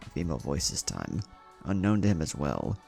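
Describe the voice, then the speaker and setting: A man's voice: very deep voice, wildly swooping pitch, a little fast, gravelly, a little mellow, slightly rough, soft background music. A man reading a story as narrator, in a dramatic scripted narration style. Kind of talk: dramatic narrated fan fiction reading with character dialogue.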